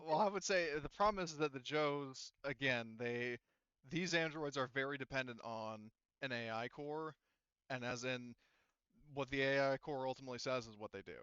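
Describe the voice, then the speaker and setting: A man leads a tabletop roleplaying session as game master. His voice is smooth and clean at a middling pitch, and he talks in a meandering, explanatory way. The sound is dry and somewhat muffled. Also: normal speed, a bit bright, no room sound.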